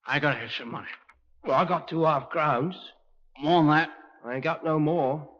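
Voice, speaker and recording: An actor playing a desperate criminal with rough slangy working-class speech in a 1950s radio drama. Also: male voice, a little high, normal speed, natural voice. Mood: menacing. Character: desperate, violent London criminals plotting a robbery. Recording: muffled old radio recording, long reverb.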